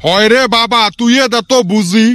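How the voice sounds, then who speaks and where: A man voicing an exasperated, teasing cartoon village husband with a Rajbongshi Bengali accent and an artificially raised pitch. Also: moderate pace, background noise. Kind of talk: comic cartoon dialogue. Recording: music behind voice.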